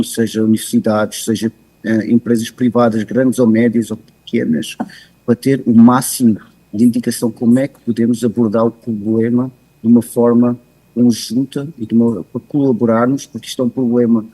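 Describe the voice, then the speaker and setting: A man with a European Portuguese accent, joining by video call to give a measured, hesitant expert presentation with many pauses. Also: mid-range voice, dark tone, poor audio. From continental Portugal. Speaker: guest speaker, cybersecurity expert. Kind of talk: presentation talk.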